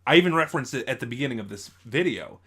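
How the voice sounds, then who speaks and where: Halting male reviewer with emphatic stresses. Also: medium pitch, lively intonation, a bit bright, husky. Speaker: reviewer and channel host. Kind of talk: casual spoken review of audio dramas.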